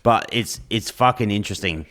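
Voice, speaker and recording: A man's voice: fairly low pitch, brisk, a bit bright. A young adult Australian man hosting a podcast, telling stories bluntly and swearing in nearly every sentence. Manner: swears constantly, blunt storytelling. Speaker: podcast host. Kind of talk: casual podcast chat.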